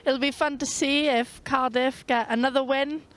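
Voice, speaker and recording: A woman's voice: sits high, steady tone, normal speed. A woman working as co-commentator on live rugby, giving friendly, brief answers. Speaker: match summariser.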